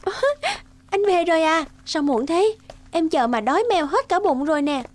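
A woman voicing a young wife in a cartoon, eager to please yet wistful, soft and expressive. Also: very high-pitched, moderate pace. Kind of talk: Vietnamese cartoon dubbing. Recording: guitar music under the voice.

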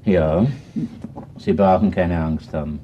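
An actor playing a shrewd, unhurried Viennese police inspector in a radio crime play, with an Austrian accent. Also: male voice, sits low, steady tone, muffled recording, soft background music. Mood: calm, understanding. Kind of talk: naturalistic radio crime drama acting.

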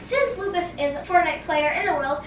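A woman's voice: very high-pitched, expressive, brisk, recorded from a distance. A young girl hosting a cooking vlog at home, chatty and a bit bossy, keeping things moving. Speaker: host. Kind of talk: casual kitchen vlog chat. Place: home kitchen. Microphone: camera across the counter. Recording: echoey kitchen, from the camera, music behind.

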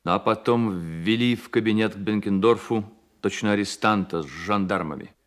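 An actor playing a weary, wounded, tender poet of about thirty, brooding and confessional, in a Soviet radio play. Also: male voice, fairly low pitch, moderate pace, lightly acted. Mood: humiliated, agitated.

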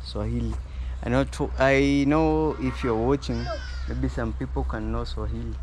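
A young Kenyan man filming a casual vlog outdoors in a rural yard, speaking to his viewers in a relaxed, low-key way. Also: moderate pace. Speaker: vlogger. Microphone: small clip-on mic. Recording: outdoor noise behind the voice.

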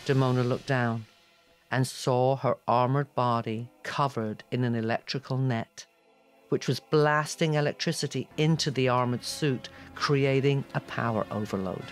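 A man's voice: mid-range voice, fairly even intonation, a little slow, faint music behind. A man reading scripted fantasy narration in a dramatic audiobook style.

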